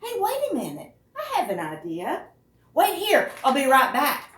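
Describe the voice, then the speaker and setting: A woman's voice: high-pitched, huge pitch swings, moderate pace, fairly bright tone, voice pushed up, heavily acted. A woman voicing an exasperated, pleading young boy from a picture book, in a dramatic, wheedling, swooping manner. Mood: eager. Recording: roomy echo, distant microphone.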